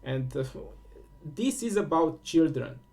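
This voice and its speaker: Male host, Romanian accent, slightly high voice with gravelly fry, hesitant delivery full of fillers. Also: lively intonation, dark tone. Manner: halting, many fillers and restarts. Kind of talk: casual spiritual talk.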